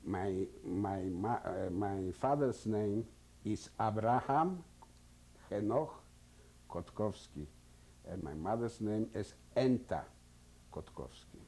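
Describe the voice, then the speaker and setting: Older Polish-accented man in his 70s, unhurried, mellow husky voice, hesitant as he searches for English words. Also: fairly low pitch, expressive. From Poland. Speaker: interviewee. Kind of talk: oral history testimony.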